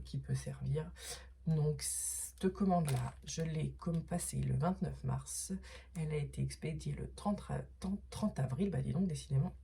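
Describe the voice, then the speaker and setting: Hoarse low-voiced woman. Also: dark tone, slight background noise. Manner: hesitant, many fillers and restarts; drops to a whisper at times. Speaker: vlogger unboxing craft supplies. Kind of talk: casual unboxing talk.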